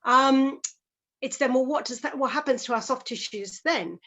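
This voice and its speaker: A middle-aged Australian woman, a physiotherapist guest, explaining step by step in a measured way. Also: high-pitched, pushed and bright. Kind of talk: explanatory interview talk.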